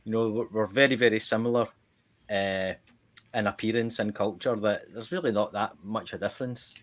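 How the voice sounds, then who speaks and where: A man's voice: fairly low pitch, steady tone, normal speed. A middle-aged man with a Scottish accent, chatting casually as a podcast host and telling rambling stories that he keeps interrupting himself to restart. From Ireland. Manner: rambling anecdotes with frequent self-interruptions and laughter. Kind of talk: casual chat.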